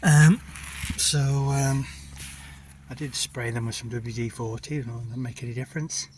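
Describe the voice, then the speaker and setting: A farmer casually talking through a machine repair, thinking aloud with frequent ums and sounding a bit chesty. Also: male voice, mid-range voice, fairly even intonation, normal speed, a little hiss or hum. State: a bit chesty after illness. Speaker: farmer and video maker.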